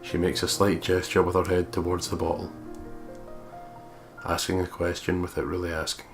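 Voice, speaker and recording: A man's voice: sits low, monotone, normal speed. A man doing a let's play, reading a visual novel's narration aloud and chatting casually about it. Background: game music.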